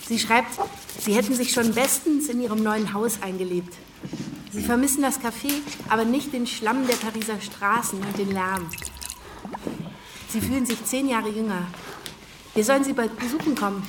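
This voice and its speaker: A woman's voice: a little high, moderate pace, far from the mic, close to an everyday voice. An actress in a German radio drama playing a contented, supportive young wife and mother. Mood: cheerful. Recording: off-mic, clear noise with clicks.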